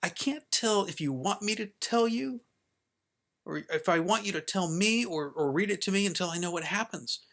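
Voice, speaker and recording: A man voicing an upset, stubborn young boy in a story, emotional, insistent and halting as he pleads with his father. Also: a little high, fairly bright tone. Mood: frustrated.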